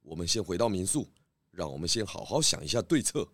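Man as a theatrical low-voiced pig detective. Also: sing-song, fast, no echo, soft background music. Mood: calm deduction. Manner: composed, knowing, theatrical exclamations. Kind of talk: children's audio drama acting.

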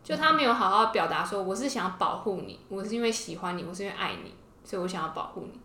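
A woman with a Taiwanese accent co-hosts a casual podcast about fiction. She explains things expressively, like a keen fan, at an everyday female pitch. The room adds noticeable echo around her voice. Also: animated, quick pace, bright, forceful tone. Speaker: podcast co-host. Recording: roomy, echoey sound.

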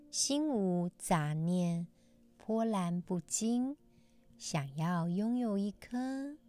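A woman hosting a meditation podcast, giving a calm scripted mindfulness talk in measured, gentle phrases with frequent pauses. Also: medium pitch. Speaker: host of a meditation and Buddhist teaching podcast.

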